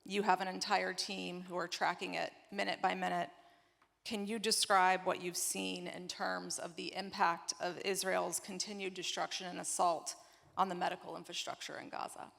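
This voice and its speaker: A woman moderates a conference panel, reading a guest's introduction before asking her question. Her voice sits low and flat with a gravelly fry, and she drops in soft fillers. The long reverb of a large hall follows her words. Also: normal speed.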